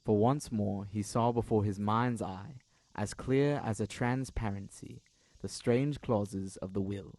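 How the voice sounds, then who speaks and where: A man's voice: lowish voice, measured pace, darkish tone. A man reading scripted literary narration as the narrator of an audio drama.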